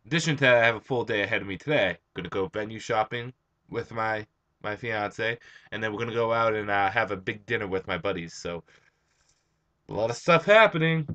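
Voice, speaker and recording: A young man with a hoarse, tired voice, just out of bed, rambling through a casual comic book review. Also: lowish voice, lively intonation, brisk, sharp tone, muffled recording. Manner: rambling, chatty, with frequent "uh" and restarts.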